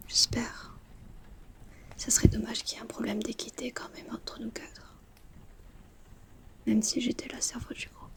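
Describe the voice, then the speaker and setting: A woman's voice: fairly high pitch, close to an everyday voice. A woman playing a chatty, mischievous teenage witch student in a whispered ASMR roleplay, talking in a casual, confiding way. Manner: hushed, casual, slangy, confiding.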